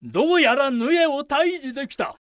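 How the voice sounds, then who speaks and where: An actor playing a proud, commanding samurai lord in a radio drama, speaking in emphatic archaic lordly style. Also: male voice, very high voice, expressive, moderate pace, no echo. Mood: satisfied, magnanimous.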